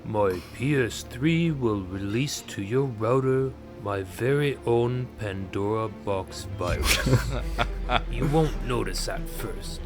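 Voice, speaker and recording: A man's voice: mid-range voice, over music, natural voice. A man doing a smug menacing hacker. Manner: slow, theatrical threats, stifled laughs.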